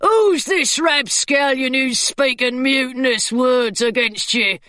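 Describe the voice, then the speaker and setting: A woman voicing a rowdy, loyal male pirate crewman who sounds loud and indignant. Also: sits high, takes it slowly, no room sound, clean studio sound.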